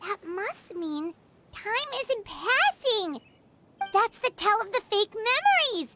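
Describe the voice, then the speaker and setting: A woman's voice: very animated, takes it slowly, music in the background, full character voice. A woman voicing a tiny, childlike fairy companion in a video game, eager and exclamatory. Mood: excited explaining. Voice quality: squeaky, childlike. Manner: exclamatory, eager, speaks of self in third person. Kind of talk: video game character acting.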